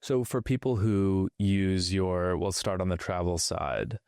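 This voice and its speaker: A male podcast host with a low voice asks his guest questions, feeling his way through his phrasing. He often strings several questions together. The sound is dry, with no room echo. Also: a little slow, darkish tone, clean tone. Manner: loose, searching phrasing, stacks several questions. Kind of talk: interview questions.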